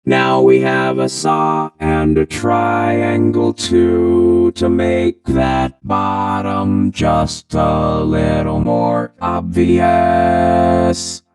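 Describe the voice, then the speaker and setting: A man demonstrating through a vocoder, his words turned into drawn-out, sing-song synthesizer robot speech. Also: very deep voice, lively intonation, over loud music. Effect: vocoder, musical synthesizer robot filter. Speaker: tutorial presenter. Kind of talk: demonstrating an effect with own voice.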